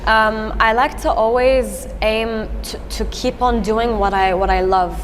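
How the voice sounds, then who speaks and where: A woman's voice: on the high side, fairly even intonation, a little slow. A woman whose first language is French, a singer-songwriter answering interview questions chattily, with many restarts. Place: event venue. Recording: echoey hall with event noise.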